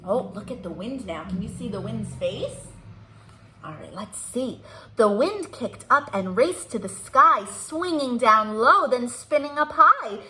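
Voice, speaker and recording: Very lively high-pitched woman reading to children. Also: a little slow, slight background noise. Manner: sing-song, addresses children with simple questions. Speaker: children's librarian hosting musical storytime. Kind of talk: animated read-aloud for young children. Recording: roomy echo.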